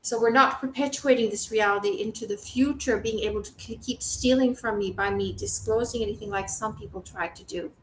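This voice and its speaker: A woman's voice: fairly quick, few pauses. A woman giving an informal, rambling spiritual monologue to the public, speaking emphatically and indignantly with some swearing. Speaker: video creator speaking to the public. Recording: roomy echo, noisy low rumble.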